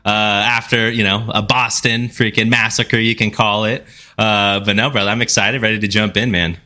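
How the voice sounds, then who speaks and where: Lowish voiced guy co-hosting sports pod, bright punchy sound, hyped up, lots of slang. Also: fairly even intonation, normal speed. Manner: hype-man slang, enthusiastic. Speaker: podcast co-host. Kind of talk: casual sports podcast chat.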